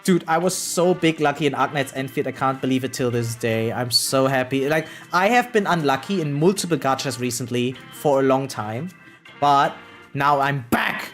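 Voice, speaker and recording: An excitable gaming streamer chatting casually and slangily on a livestream, swearing freely. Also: medium pitch, normal speed. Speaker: variety game streamer. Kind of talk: casual livestream chat about gacha games. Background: background music.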